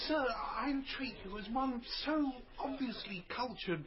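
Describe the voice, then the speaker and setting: An actor playing a grandiose, excitable travelling showman and father, with a flowery, pompous way of talking, in a radio drama. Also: very high-pitched, unhurried. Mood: wheedling. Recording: dull lo-fi broadcast, crowd behind.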